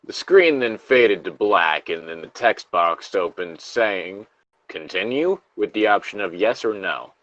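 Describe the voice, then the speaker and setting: A man's voice: deep voice, animated, unhurried, hardly any pauses, fairly bright tone, dry recording, highs cut off. A man reading horror stories as a co-host, with casual, sardonic, sweary asides. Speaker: co-host reading video game creepypastas.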